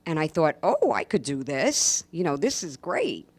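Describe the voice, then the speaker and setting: A woman's voice: sits low, big pitch swings, moderate pace, a little mellow, no room sound. A woman chatting casually as an interview guest, speaking in an emphatic, enthusiastic way. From New York, USA. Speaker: guest, actress and stand-up comedian.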